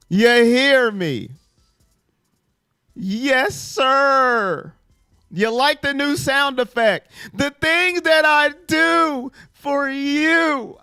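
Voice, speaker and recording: A man hosting a live sports stream, chatting and teasing his viewers, his voice hoarse from yelling. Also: very high-pitched, dry recording, clean studio sound. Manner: animated, teasing chat with viewers. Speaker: sports livestream host. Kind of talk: live fan pregame chat.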